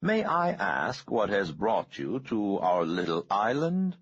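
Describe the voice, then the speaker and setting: A man voicing a courtly, smooth-talking male billionaire with an oily, polite manner. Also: fairly low pitch, very animated, takes it slowly, no room sound, dull audio, heavily acted.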